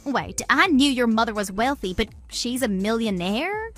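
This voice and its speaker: A woman's voice: fairly high pitch, sing-song, moderate pace, faint music behind. A woman playing a greedy, haughty, easily shocked mistress in a scripted audio drama. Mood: shocked, incredulous. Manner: haughty, gloating, incredulous. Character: smug, greedy, gold-digging young woman, easily shocked.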